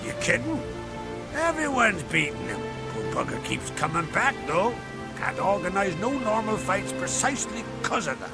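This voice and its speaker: An actor playing a blunt, coarse, excitable male villager in a video game, with a rough, emphatic way of talking. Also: sits high, normal speed, over loud music, exaggerated voice. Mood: animated. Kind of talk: video game cutscene acting.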